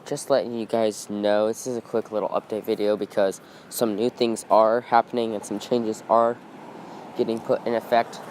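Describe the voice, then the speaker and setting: Host type: casual rambling man, low voice, bit rough from recent illness, faint street noise behind. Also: level tone, moderate pace, darkish tone, poor audio. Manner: chatty, rambling explanations. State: voice a bit off after being sick. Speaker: channel host. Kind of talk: casual vlog talk to camera. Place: outdoors by a street in the early morning.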